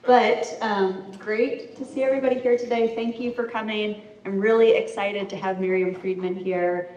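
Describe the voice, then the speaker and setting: A woman's voice: medium pitch, normal speed, highs cut off, slight background noise. A woman hosts a live interview at a community center. She talks in a friendly, easygoing way, full of "you know." Her voice is mid-range and comes from a distance through an echoey room. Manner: friendly, relaxed, many fillers like you know. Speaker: interviewer, series host. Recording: echoey room, far from mic.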